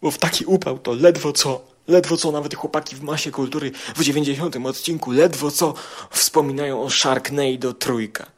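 A man's voice: fairly high pitch, steady tone, normal speed. A man with a Polish accent hosting an informal podcast roundup, talking colloquially and repeating phrases for emphasis in a mock-aggrieved way. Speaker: podcast and video show host. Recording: music behind the voice. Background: music.

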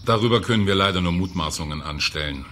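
An actor playing a cynical, callous youth prison director in a German radio drama, condescending and bureaucratic. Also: male voice, low voice, fast talker, pushed and bright. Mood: condescending, dry.

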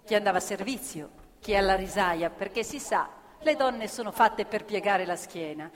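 A woman narrating scripted theatre as an actor, expressive and deliberate in her phrasing. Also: mid-range voice. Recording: reverberant hall with live music. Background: faint live music.

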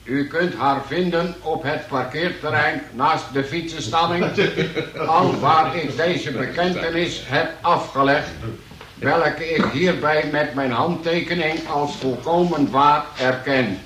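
Slow, formal police sergeant. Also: male voice, fairly high pitch, fairly bright tone. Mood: solemn, deliberate. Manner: formal, deliberate reading aloud. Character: plodding police sergeant reading out a confession. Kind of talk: radio play acting. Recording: echoey radio play with faint noise.

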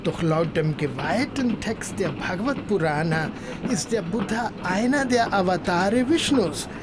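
Radio drama: polite, scholarly Tamil gentleman, precise delivery, noisy ambience behind. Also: high voice, lively intonation, measured pace. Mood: courteous, precise. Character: courteous, learned Tamil gentleman traveling by train. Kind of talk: radio play acting.

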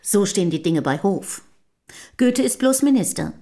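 An actress in a German historical radio drama playing a composed, dutiful common-born companion of a poet, measured and plain-spoken. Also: female voice, medium pitch, sing-song, dark tone. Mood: dry, unruffled.

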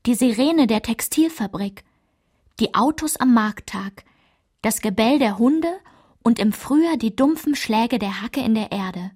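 Higher-voiced, matter-of-fact female narrator. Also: animated, measured pace, darkish tone, husky. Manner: clipped, list-like, matter-of-fact delivery. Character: matter-of-fact female narrator listing rules and village routines. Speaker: narrator in a radio play. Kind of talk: literary scripted recitation.

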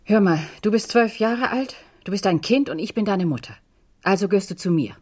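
A woman's voice: mid-range voice, lively intonation, a little fast, a little mellow, close to an everyday voice. An actress playing a firm, possessive mother in a radio drama, speaking in an insistent, controlled manner. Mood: reproachful. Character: firm, possessive mother pleading with her resistant daughter. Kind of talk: German radio crime drama acting.

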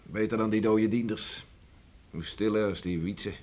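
An actor playing a hearty, gruff farmer and beachcomber father in a Dutch radio drama, talking in a bluff, teasing way. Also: lowish voice, steady tone, normal speed, a little mellow, close to an everyday voice. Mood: bluff, easygoing. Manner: bluff, teasing, chuckling, colloquial.

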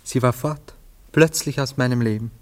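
A man's voice: mid-range voice, normal speed, lightly acted. An actor in a radio play, playing a nostalgic, self-absorbed romantic man who muses theatrically. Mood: light, musing. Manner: wistful, musing, theatrical, savoring his words. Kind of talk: German radio play acting.

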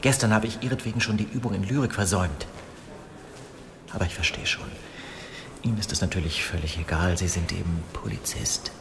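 Quick-talking pompous male professor. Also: low voice. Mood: indignant, haughty. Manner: pompous, clipped, condescending. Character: pompous, indignant literature professor, devoted to his lectures. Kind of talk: German radio drama acting. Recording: clear background noise.

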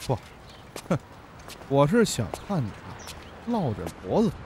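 A man's voice: on the high side, huge pitch swings, unhurried. An actor playing a young crosstalk straight man in an audio drama, caring but wry and needling. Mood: teasing. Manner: wry, reactive. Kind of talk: audio drama acting with stage patter. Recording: noisy ambience behind the voice.

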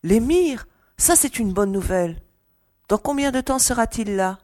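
A woman's voice: a little high, expressive, unhurried, a little mellow, no room sound, studio quality. A woman playing a confident, friendly young mercenary agent in a science fiction audio drama. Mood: pleased, brisk. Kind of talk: French audio drama acting.

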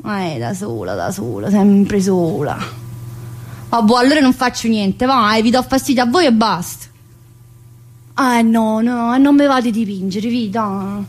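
An actress as a talkative southern Italian woman inmate, high-voiced. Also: expressive, a little slow. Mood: sulky. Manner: rambling, colloquial, full of tag questions. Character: talkative, teasing, wounded woman prison inmate. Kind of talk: theatrical monologue in colloquial dialect-tinged speech.

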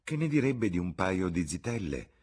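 A man voicing an eager, earnest young gentlewoman in an audiobook, polite and insistent in manner. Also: low voice, big pitch swings, moderate pace, husky, full character voice. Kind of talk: audiobook character voice.

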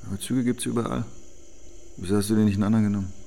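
An actor playing a cool, evasive, teasing young ringleader in a German radio drama, speaking in a laconic, understated way. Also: male voice, on the low side, steady tone, very fast, gravelly, mellow, a little hiss or hum. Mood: cool, aloof.

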